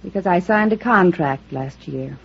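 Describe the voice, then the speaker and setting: A sardonic, jaded female comic in a fifties radio play. Her voice sits low and her delivery is dry and wisecracking. The recording is dull, with noticeable hiss. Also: expressive, normal speed, creaky. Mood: bitter, sardonic. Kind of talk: 1950s radio drama acting.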